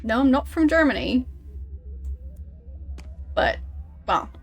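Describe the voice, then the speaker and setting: A young woman chats with her stream viewers in an easygoing, unhurried way, often chuckling. Her voice sits a little high, and faint game music plays underneath. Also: breathy edge, dull audio. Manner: relaxed, chuckling, teasing about her accent. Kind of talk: casual livestream chat, reading chat.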